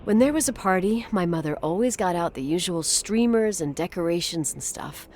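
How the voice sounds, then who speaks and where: A woman's voice: lowish voice, animated, normal speed, darkish tone, lightly acted. Actress doing a guarded woman in a story game, dry and wry, kinda hesitant, natural talk, bit of background noise. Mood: nostalgic. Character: wry, guarded adult woman recalling her childhood.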